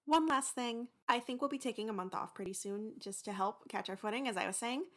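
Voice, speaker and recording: A woman's voice: on the high side, a little fast, fairly bright tone. A young adult woman giving a chatty, informal update to listeners as a podcast host. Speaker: host and producer of an audio drama podcast.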